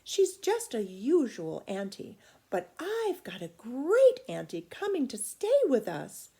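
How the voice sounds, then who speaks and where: A woman voicing an eager, wide-eyed little country girl in a storybook, with emphatic excitement. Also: a little high, slow pace, vocal fry, husky, pitched above the performer's own voice, full character voice. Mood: excited, proud.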